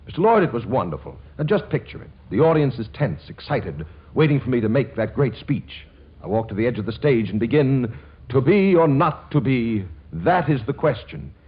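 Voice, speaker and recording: A male announcer from 1940s radio with a theatrical, comic salesman's delivery. His pitch rises and falls dramatically with mock boastfulness. The recording is dull and vintage. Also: sing-song, moderate pace.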